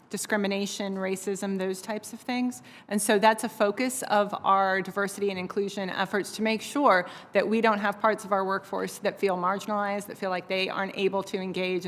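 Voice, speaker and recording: An American woman giving formal testimony as a government official. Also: medium pitch. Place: large indoor hearing room. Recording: reverberant hearing room.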